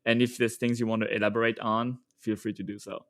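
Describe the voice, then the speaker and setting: A man's voice: fairly low pitch, little pitch movement, fast, fairly bright tone. A man hosting a podcast, delivering scripted introductions and interview questions. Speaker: podcast host.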